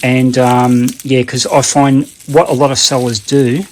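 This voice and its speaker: A man's voice: mid-range voice, flat delivery, a little slow, a little hiss or hum, poor audio. A man with an Australian accent giving a casual, unscripted how-to talk in a rambling, plain-spoken, conversational way. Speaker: online seller giving a how-to demonstration.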